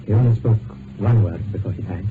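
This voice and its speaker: A man acting a grave male doctor in a vintage radio play, formal and halting, with apologetic care. The sound is narrow as a phone line, with clear noise. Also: fairly low pitch, lightly acted. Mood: grave, hesitant. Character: grave, hesitant male doctor bearing bad news. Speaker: actor. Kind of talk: old-time radio drama acting. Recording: narrow, noisy old radio recording.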